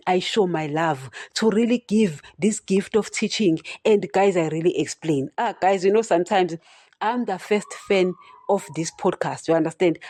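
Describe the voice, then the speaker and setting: Female podcast preacher, stutters, blunt and repetitive, mellow tone, dry close sound. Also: medium pitch, no echo. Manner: direct, repeats "you understand?", addresses listeners as guys. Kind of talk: solo preaching podcast talk.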